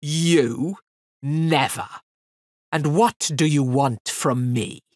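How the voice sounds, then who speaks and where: A woman doing a booming, mocking fire fiend. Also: a little mellow, dry recording, clean studio sound. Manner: slow, booming, scornful. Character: huge roaring male fire fiend, mocking. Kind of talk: storybook reading.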